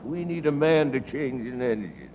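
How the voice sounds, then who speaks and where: A man playing a stern, deliberate army colonel giving orders in 1940s radio comedy. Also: medium pitch, sing-song, natural voice. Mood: commanding. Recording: phone-like, very noisy old radio recording, hall echo.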